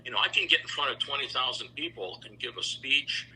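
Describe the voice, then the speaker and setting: A middle-aged man telling stories over a video call, relaxed and rambling with lots of fillers. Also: mid-range voice, brisk, a little hiss or hum, low-quality recording. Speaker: interview guest, founder of a choral institute. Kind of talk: casual storytelling interview.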